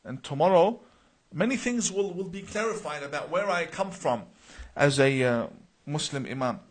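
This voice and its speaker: A man's voice: on the high side, expressive, moderate pace. A man from Iraq hosting a live question-and-answer stream, reading viewer comments aloud and answering them earnestly, with many uh's and repeated words. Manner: reads viewer names then answers, with frequent uh and repeated words.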